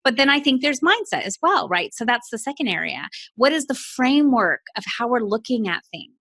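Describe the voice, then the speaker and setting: Bright-voiced North American woman coach. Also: fairly high pitch, brisk, no room sound. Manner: animated, laughs often, uses many fillers. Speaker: business coach. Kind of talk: casual podcast conversation.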